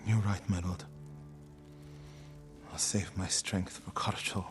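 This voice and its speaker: A man playing a grieving warrior monk bent on revenge in a video game, solemn and measured. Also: low-pitched, level tone. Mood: brooding, bitter. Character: grieving, vengeful warrior monk, grim, burdened. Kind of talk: video game cutscene acting. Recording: dramatic music behind the voice.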